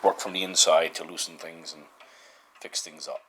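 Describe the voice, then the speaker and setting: Male hobbyist repair host, very low pitch, halting informal delivery, light background noise and ticks. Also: level tone, sharp tone. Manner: halting, pausing often mid-sentence. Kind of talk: casual hands-on demonstration.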